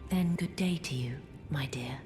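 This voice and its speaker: An actress playing a gentle, sorrowful deathbed companion in a video game, in a soft, breathy near-whisper with courtly manners. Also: female voice, a bass voice, music in the background. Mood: sorrowful, pleading. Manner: slow, hushed, pleading courtesy.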